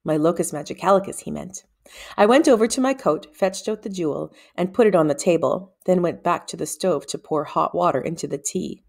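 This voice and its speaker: A woman reads fiction aloud in a slightly low-pitched voice. She phrases deliberately, giving the narration the expressive shape of storybook reading. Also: creaky.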